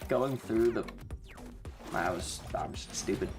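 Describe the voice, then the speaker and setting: A woman's voice: a bass voice, animated, measured pace, music in the background. A woman live-streaming a video game, chatting casually with slangy, sweary exclamations. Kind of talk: casual gaming stream commentary.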